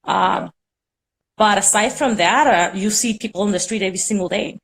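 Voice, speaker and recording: A young woman from Puerto Rico speaks English with a Puerto Rican accent, Spanish being her first language. She chats over a video call at a mid-range pitch, rambling and filling her sentences with fillers. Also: moderate pace, vocal fry, a bit bright, low-quality recording. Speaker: caller on a live stream. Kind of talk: casual chat.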